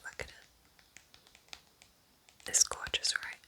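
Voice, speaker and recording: A woman whispering softly in a gentle, unhurried and chatty ASMR style, recommending books as in a cosy roleplay. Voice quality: soft whisper. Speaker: ASMR host playing a kind witch recommending books.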